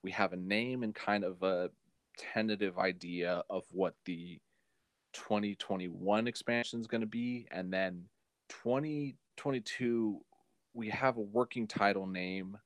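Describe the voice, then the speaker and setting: A man with a low voice in a dry recording with little room sound. He talks casually as a podcast co-host, feeling his way through explanations and often rephrasing as he goes. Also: measured pace, no room sound. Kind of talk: casual chat.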